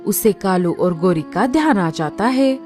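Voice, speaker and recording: A woman narrating a children's cartoon story in an expressive storytelling style. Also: mid-range voice, unhurried, music in the background.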